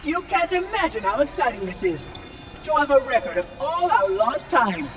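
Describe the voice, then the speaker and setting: An actor voicing a pompous, fussy robot caretaker in a video game, with precise, theatrical and menacing speech. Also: male voice, extremely high pitch, very animated, moderate pace, faint music behind. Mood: gleeful. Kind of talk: cinematic game acting.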